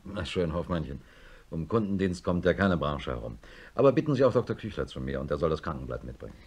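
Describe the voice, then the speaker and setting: An actor playing a middle-aged neurosurgeon professor in a radio drama, measured, dry and formal. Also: male voice, a bass voice, lively intonation, darkish tone, slightly rough, full character voice. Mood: brisk, dry. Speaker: narrator and lead actor. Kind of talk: German radio drama acting.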